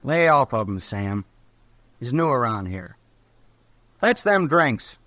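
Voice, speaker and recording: Cocky drunk young cowhand. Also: male voice, mid-range voice, big pitch swings, takes it slowly, sharp tone, no echo, full character voice. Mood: belligerent. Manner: brash, folksy cowboy speech. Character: cocky nineteen-year-old cowhand, drunk, hot-headed and boastful. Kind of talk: 1950s Western radio drama acting.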